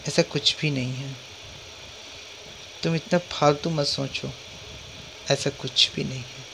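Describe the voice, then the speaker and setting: A man acting a calm, skeptical husband in a dubbed horror drama, soothing and dismissing his wife's fears. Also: medium pitch, slow pace, vocal fry, noisy background. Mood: reassuring. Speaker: husband and father. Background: music.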